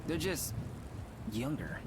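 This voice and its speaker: A man's voice: a little high, very animated, takes it slowly, crowd noise. A man voicing a glum, self-conscious teenage boy in a video game, sounding unenthusiastic.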